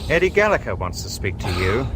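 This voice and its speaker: An actor playing a brusque, threatening gangster's driver in a radio drama, barking orders. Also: male voice, lowish voice, big pitch swings, fairly quick. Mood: brusque menace. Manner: brusque, commanding. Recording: vehicle noise behind.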